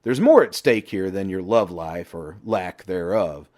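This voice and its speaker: A man's voice: deep voice, lively intonation, unhurried, darkish tone. A man voicing a brash middle-aged best friend who lectures and needles bluntly in an audiobook. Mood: mocking, scolding. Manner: blunt, emphatic, sweary banter.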